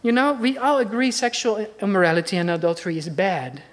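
A woman's voice: medium pitch, a little fast. Dutch-accented woman preaching earnestly. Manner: earnest, conversational preaching with rhetorical questions. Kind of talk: sermon.

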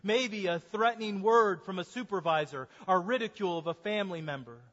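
A man preaching a sermon as a pastor, speaking earnestly and slowing down to stress key points. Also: sits high, fairly bright tone. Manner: earnest preaching, slows for emphasis. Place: church sanctuary. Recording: dull sermon recording in a reverberant church.

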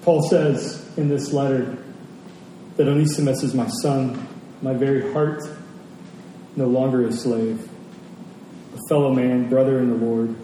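A man preaching a sermon as a pastor, earnest and emphatic, pausing and repeating phrases for weight. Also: steady tone, mellow. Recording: echoey hall, distant mic, faint rumbling noise.

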